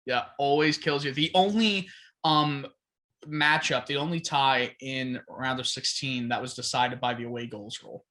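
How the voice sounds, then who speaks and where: A man co-hosting a sports podcast, chatting casually with many fillers and laughs. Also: medium pitch. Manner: halting, lots of fillers and laughs, animated rises. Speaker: podcast co-host.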